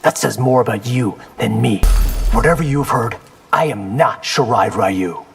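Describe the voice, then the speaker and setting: An actor playing a wry, defiant, earnest young ninja warrior in a video game. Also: male voice, medium pitch, measured pace, gravelly, faint music behind.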